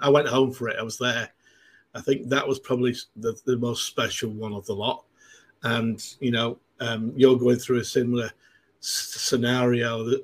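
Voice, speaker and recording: A man in his sixties with a broad Mancunian accent and a husky, breathy edge to his voice. He rambles through casual chat, stopping and restarting often with plenty of ums. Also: mid-range voice. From Manchester, England. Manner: rambling, with many fillers and restarts. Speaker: guest.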